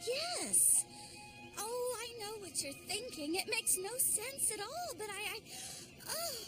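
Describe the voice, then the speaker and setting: Very high, anxious cartoon ingenue. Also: female voice, big pitch swings, slow, full character voice. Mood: troubled. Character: anxious, sweet young woman in distress. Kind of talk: animated series voice acting. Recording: loud music under the voice.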